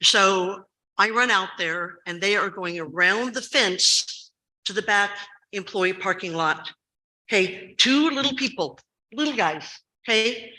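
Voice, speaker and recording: An older woman in her seventies speaks with a very bright, sharp tone and a loud voice that carries. She tells her story with urgency, leaning hard on repeated words. Her voice sits at a middling pitch. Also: animated, measured pace, poor audio. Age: 70s. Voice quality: loud, carrying voice. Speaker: school recess staff member giving public comment. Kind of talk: public comment at a school board meeting.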